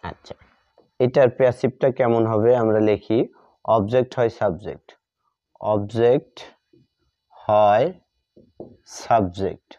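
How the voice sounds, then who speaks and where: Man, Bengali accent, grammar teacher, mellow tone, repetitive dictation, spelled-out words, dry muffled lo-fi recording. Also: fairly low pitch, steady tone, measured pace, pauses often, no room sound. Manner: repeats phrases and spells words out while dictating. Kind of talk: classroom grammar lesson, writing out examples while explaining. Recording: dull lo-fi recording.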